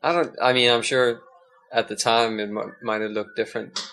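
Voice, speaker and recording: A man's voice: medium pitch, steady tone, moderate pace, creaky, fairly bright tone. A young Danish-born man as a podcast guest, talking in a loose, hesitant way with many restarts. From Denmark. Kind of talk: casual conversation.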